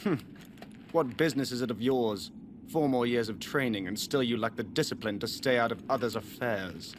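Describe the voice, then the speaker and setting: A man's voice: medium pitch, moderate pace, slight background noise, natural voice. A man playing a haughty, scornful young prince in a video game, formal and condescending. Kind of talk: dramatic video game acting.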